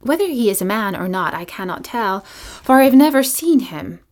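A woman voicing a kindly little old witch woman who speaks gently and sometimes in a whisper. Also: medium pitch, lively intonation, normal speed, natural voice.

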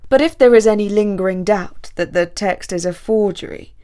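A woman voicing a grave, commanding male count in a story, speaking formally with slow, weighty emphasis. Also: medium pitch, mellow, clean tone, close to an everyday voice. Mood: knowing, assured. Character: grave, commanding aristocratic count, tall dark man.

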